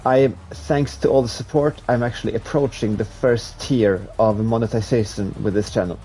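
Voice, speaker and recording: A man's voice: mid-range voice, normal speed, faint music behind. A young man from Sweden with a Swedish accent, chatting casually with viewers as a streamer while playing a racing game. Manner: relaxed, rambling, with restarts while playing. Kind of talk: casual chat while gaming live.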